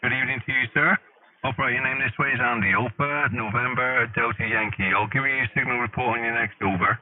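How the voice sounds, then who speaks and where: A man's voice: medium pitch, steady tone, quick pace. Plymouth guy calling cq, mid voice, spells everything out, narrow band, faint music behind. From Plymouth, England. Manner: methodical, uses phonetic alphabet. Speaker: radio operator, co-host. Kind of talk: ham radio calling.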